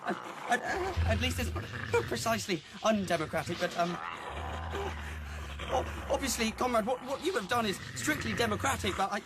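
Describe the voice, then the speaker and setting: High, stammering timid young pig. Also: male voice, animated, moderate pace, over loud music. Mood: nervous. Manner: stammering, hesitant. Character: timid young male pig, nervously protesting then cowed. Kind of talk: radio drama acting.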